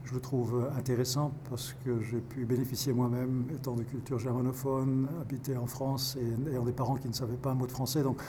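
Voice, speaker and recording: A man in his fifties, a pastor volunteering as a tutor, answering interview questions in a halting way with many restarts. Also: mid-range voice, level tone, dark tone, slight background noise. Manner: halting, restarts words often.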